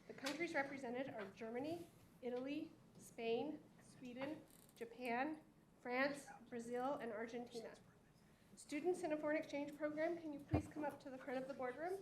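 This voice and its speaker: A woman giving prepared remarks at a school board meeting, speaking in a measured, formal way. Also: high-pitched, fairly even intonation, noisy background. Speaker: district staff presenter. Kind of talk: formal public meeting address.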